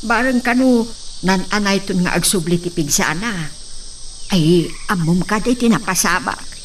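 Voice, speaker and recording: Older maid played by a woman, Ilocano-accented radio drama, humble, mid-pitched, slightly off-mic with crickets. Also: unhurried, lightly acted. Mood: gossipy. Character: deferential older woman household servant. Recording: slightly distant, noisy, crickets behind.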